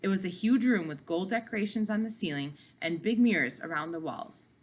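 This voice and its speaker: Expressive woman reading a children's story aloud. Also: medium pitch, brisk. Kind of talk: expressive children's book narration.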